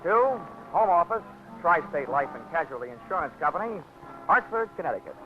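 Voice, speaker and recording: A wisecracking radio private eye. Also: male voice, a little high, sing-song, fairly quick. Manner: dry, wisecracking. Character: wisecracking male insurance investigator narrating. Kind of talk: 1940s radio drama narration. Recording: dull old radio broadcast with music.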